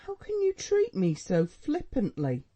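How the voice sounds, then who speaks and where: A man's voice: high voice, huge pitch swings, measured pace, mellow, pitched above the performer's own voice, full character voice. A man doing a scolding, tearful mother. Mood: pleading. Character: anxious, reproachful middle-aged mother, a woman. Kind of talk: audiobook dialogue reading.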